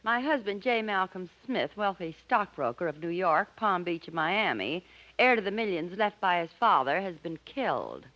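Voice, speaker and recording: A woman's voice: on the low side, sing-song, normal speed, a bit bright. A woman playing a matter-of-fact, declamatory wealthy widow in a 1950s radio comedy sketch. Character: brisk, unruffled wealthy widow in comic murder sketch. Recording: muffled old radio recording.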